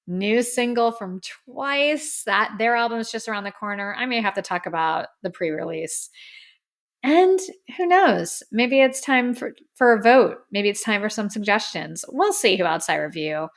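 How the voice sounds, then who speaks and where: Slightly hoarse woman, gravelly podcast host. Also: mid-range voice, expressive, normal speed. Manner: earnest, effusive, rambling. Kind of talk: solo podcast sign-off. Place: in front of a camera. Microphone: studio microphone.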